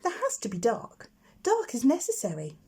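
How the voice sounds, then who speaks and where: Woman playing a bossy, high-voiced little schoolgirl. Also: wildly swooping pitch, normal speed, a little mellow, slightly rough, exaggerated voice. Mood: matter-of-fact. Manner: know-it-all, matter-of-fact. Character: confident, bossy little schoolgirl, chatty and proud.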